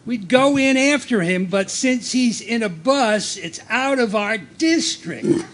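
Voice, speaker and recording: A man playing a deadpan police lieutenant in a live comic radio play. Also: extremely high pitch, slow pace, full character voice.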